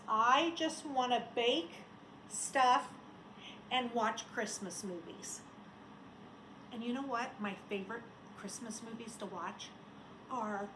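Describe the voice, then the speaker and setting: A woman, a schoolteacher, talking and reading aloud to young children in simple, expressive phrases. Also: a little high. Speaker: elementary school teacher. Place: indoors at home. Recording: roomy home recording, faint noise.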